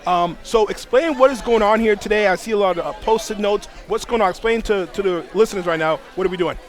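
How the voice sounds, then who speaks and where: A man hosting an upbeat on-location interview in an animated, enthusiastic manner. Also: sits high, quick pace. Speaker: host. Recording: busy crowd chatter behind.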